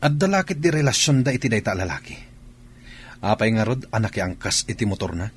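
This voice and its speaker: A man acting as a stern soldier in an Ilocano radio drama, speaking with an Ilocano accent. His fairly low voice rises and falls dramatically as he broods and gives orders. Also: big pitch swings, fairly quick, a little hiss or hum. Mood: suspicious, angry.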